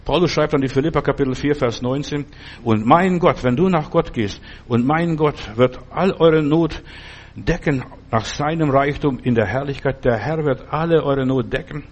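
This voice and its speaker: A man's voice: a little high, normal speed, lo-fi sound. An older man preaching an earnest, exhorting sermon, speaking straight to the listener and repeating phrases for emphasis.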